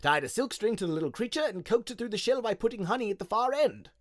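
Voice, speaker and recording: A man's voice: high-pitched, big pitch swings, quick pace, dry recording, clean studio sound, voice pushed up. A man voicing a plump, genial, slightly nervous king with a chatty, lilting delivery. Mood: genial, amused.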